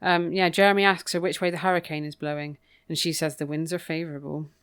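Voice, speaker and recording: A woman's voice: low voice, steady tone, fast, clear, resonant tone. A woman co-hosting a podcast, casually recapping a book in an informal, matter-of-fact way. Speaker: podcast co-host.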